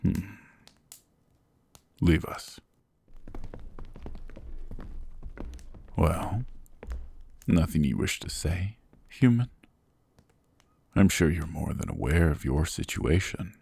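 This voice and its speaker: Guy doing a sadistic demon king, super deep and slow, breathy husky whispers, dry close mic. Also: expressive, a little mellow, heavily acted. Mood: cold disdain. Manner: slow, mocking, condescending. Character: cold sadistic male demon king, possessive. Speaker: voice actor. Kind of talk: ASMR roleplay audio.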